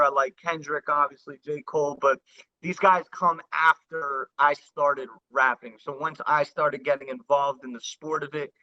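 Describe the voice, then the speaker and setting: A man in his thirties from the New York and New Jersey area, a rapper telling his story in a casual interview, earnest and halting with many restarts. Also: mid-range voice, sharp tone. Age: 30s. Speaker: rapper guest. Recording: dull lo-fi sound.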